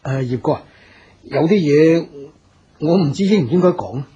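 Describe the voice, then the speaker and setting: An actor playing a loyal, tactful aide in a radio drama, hesitant and soothing, with a Hong Kong Cantonese accent. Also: male voice, fairly high pitch, lively intonation, normal speed, mellow, highs cut off, natural voice. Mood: deferential, concerned. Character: loyal, tactful male aide to a stern boss.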